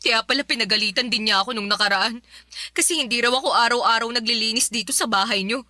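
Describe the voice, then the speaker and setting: A woman's voice: on the high side, fast talker, pushed and bright, no echo. An actress playing a defiant, aggrieved young live-in girlfriend in a Filipino radio drama, whiny and argumentative. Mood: aggrieved, whining. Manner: whiny, argumentative, talks back. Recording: music bed behind the voice.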